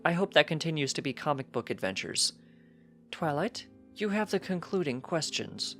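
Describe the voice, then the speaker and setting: A narrator voicing a stately, inquisitive pony princess with formal, probing, deliberate speech in a fantasy story reading. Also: medium pitch, sing-song, a bit bright, soft background music, pitched above the performer's own voice.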